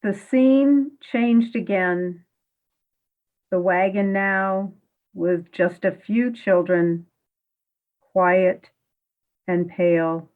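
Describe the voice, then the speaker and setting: A woman's voice: mid-range voice, extremely slow, long pauses. A woman narrating a radio play as a solemn storyteller of a ghostly tale. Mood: solemn, hushed. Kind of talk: scripted dramatic story narration. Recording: tinny, narrow phone-like line.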